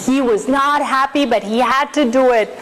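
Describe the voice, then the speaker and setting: A woman pastor delivers a first-person sermon as dramatic storytelling. Her voice sits fairly high, and she keeps it animated with asides to the congregation. The church recording has a noisy hiss underneath. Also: normal speed. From Colombia. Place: church sanctuary.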